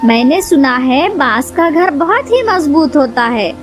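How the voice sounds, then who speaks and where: A woman plays an elderly mother-in-law bird in a cartoon fable, with a very high, childlike pitch. She is fretful and practical, advising her family over loud music. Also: big pitch swings, unhurried. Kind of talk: Hindi children's moral story cartoon dialogue.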